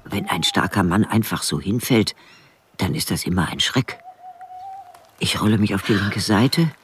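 An elderly village widow in a radio drama. She speaks in a low woman's voice, dry and plain, stubborn and protective. There is faint background noise. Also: heavily acted. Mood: tense narration. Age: older. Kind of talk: radio drama narration and dialogue.

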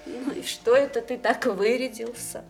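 An actress playing a devoted, anguished older wife of a dying veteran in a Soviet radio drama, with an emotional, halting delivery. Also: female voice, fairly high pitch, soft background music, close to an everyday voice. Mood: tender.

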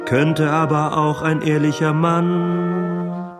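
An actor playing a bitter revolutionary agitator, declaiming a political pamphlet rhetorically in a musical audio drama. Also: male voice, a little high, level tone. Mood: chanting, mocking. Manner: deliberate, rhetorical, shifting from spoken declamation to drawn-out chant. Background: music with brass, trombone.

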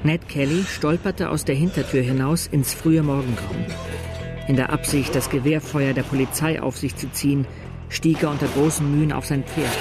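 A man's voice: on the high side, normal speed, music in the background, lightly acted. A man acting as a grave, dramatic narrator of a battle in a German radio drama. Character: grave male chronicler of an outlaw's last battle. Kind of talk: scripted third-person radio drama narration.

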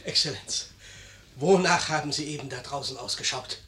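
An actor playing a frightened, superstitious, servile innkeeper with a trembling, excitable delivery in a 1950s radio play. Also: male voice, fairly high pitch, normal speed. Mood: fearful.

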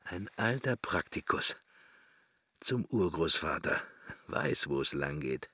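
A man's voice: extremely low pitch, sing-song, slow, bright, forceful tone, breathy edge, no echo, exaggerated voice. An actor playing a pensive middle-aged man in a radio drama, musing to himself wryly and morbidly in short, broken fragments. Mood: morbid, brooding. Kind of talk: German radio drama, interior monologue.

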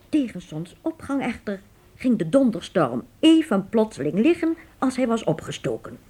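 A woman reads scripted narration for a radio play. Her mid-pitched voice is husky and moves widely up and down for dramatic storytelling. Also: a little mellow.

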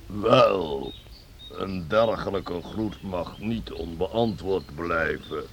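A man's voice: low-pitched, very slow, fairly bright tone, slight background noise, full character voice. An actor playing a solemn, mysterious old man in a radio drama, speaking in formal, archaic, ceremonious language. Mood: solemn, withholding. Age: older.

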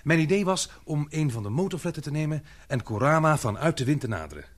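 A man's voice: fairly high pitch, very animated, quick pace. An actor playing an eager, daring young man on an expedition in a radio drama, deferential in manner. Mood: eager, persuasive. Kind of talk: Dutch radio drama acting.

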